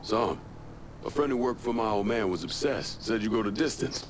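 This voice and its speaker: A terse, hard-edged hero speaking in short lines , voiced by a man. His voice is low and steady. He never says more than he needs to. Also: moderate pace, a little hiss or hum, natural voice. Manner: curt, clipped, tough. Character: terse tough male protagonist, a war veteran.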